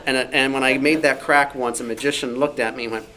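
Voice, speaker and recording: A man's voice: mid-range voice, steady tone, fast, crowd noise. A man speaking informally on a discussion panel, explaining his views and telling anecdotes. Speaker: panelist, old-time radio enthusiast. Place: indoor club meeting. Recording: noisy club meeting room recording.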